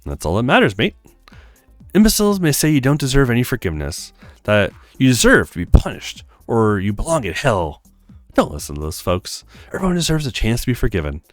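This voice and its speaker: A man's voice: mid-range voice, huge pitch swings, brisk, no echo, faint music behind. A man voicing a friendly, frank young female handywoman in a visual novel, breezy and reassuring. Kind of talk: acted visual novel read-aloud.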